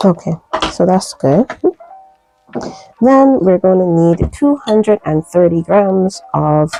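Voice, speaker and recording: A woman from Barbados, her Barbadian accent softened, talks through a soap-making tutorial in a relaxed, chatty way, full of ums and asides. Her voice sits low and mellow with a gravelly edge, and faint music plays underneath. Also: slow pace, low-quality recording. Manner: relaxed, chatty, many fillers, light laughs. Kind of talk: casual tutorial chat.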